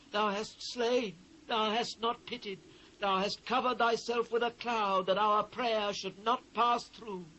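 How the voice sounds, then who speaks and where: A man's voice: high voice, bright, forceful tone, poor audio. A British man playing an anguished biblical prophet in a dramatised scripture reading, solemn, declamatory and impassioned. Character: anguished male biblical prophet lamenting his ruined people. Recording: faint noise, old cassette transfer.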